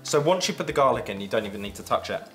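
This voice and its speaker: A young British man co-hosting a casual kitchen gadget test, chatting with animated enthusiasm while he demonstrates. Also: medium pitch, rapid-fire, soft background music. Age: young adult. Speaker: co-host. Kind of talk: casual product-testing chat. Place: indoor home studio set at a table.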